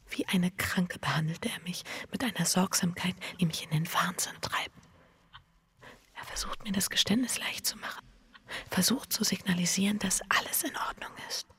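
An actress playing an anguished, guilt-ridden bourgeois wife in a radio drama, speaking with breathy, introspective intensity. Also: female voice, lowish voice, a bit bright, exaggerated voice. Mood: haunted, hushed. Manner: intense, introspective, pleading, halting repetitions. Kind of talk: German radio drama, intimate monologue and confession.

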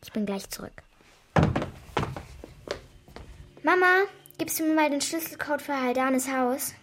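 Casting: sassy, inquisitive girl, high-pitched, chatty, some noise behind. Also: animated, normal speed. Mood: brisk, teasing. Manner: colloquial, sassy. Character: young girl, plucky, curious, impatient, talks to herself. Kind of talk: children's radio drama acting.

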